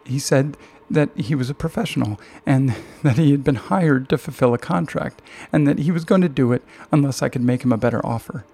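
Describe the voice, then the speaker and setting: A man's voice: medium pitch, normal speed, creaky, mellow. A man voicing a frightened, skittish mother in an audiobook, breathless and stammering as her words tumble out. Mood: panicked, breathless. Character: frightened, frantic mother of a college student.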